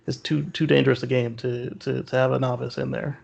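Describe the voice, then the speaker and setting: A man's voice: medium pitch, flat delivery, a little fast, gravelly, a little mellow, lo-fi sound. A man co-hosting a podcast in casual, opinionated discussion, often repeating words as he feels out a point. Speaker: podcast co-host.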